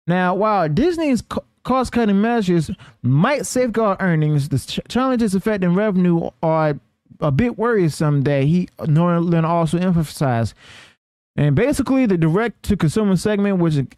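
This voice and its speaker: A man's voice: high voice, animated, darkish tone, no echo, studio quality. A man hosting news commentary, partly reading from notes, who stumbles and corrects himself and often checks in with the listener. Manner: halting delivery with stumbles and self-corrections. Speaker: host of a news commentary channel.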